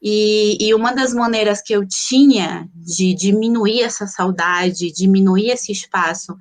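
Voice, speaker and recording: A woman from the Amazon, giving an informal, personal lecture and reflectively pausing to find her words. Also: medium pitch. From Colombia, grew up in Manaus, Brazilian Amazon. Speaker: guest lecturer, anthropologist.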